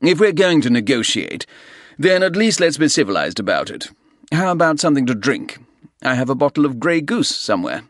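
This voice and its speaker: A man's voice: mid-range voice, big pitch swings, moderate pace, hardly any pauses, no echo, clean studio sound, voice pushed up. A man voicing a cornered, defiant businessman who snaps back under pressure. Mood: placating. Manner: tense, raised, snapping. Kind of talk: audiobook character reading.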